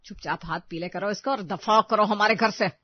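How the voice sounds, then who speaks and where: A woman's voice: medium pitch, fairly quick, no echo, muffled recording, natural voice. A woman voicing a domineering, spiteful middle-aged mother-in-law in a melodramatic audio story, harsh and taunting. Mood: scornful.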